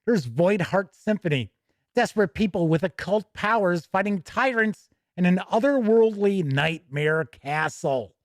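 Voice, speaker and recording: A man's voice: sits high, expressive, a little slow, no echo. A man hosting a tabletop gaming news stream, reading items aloud casually and adding chatty, informal asides.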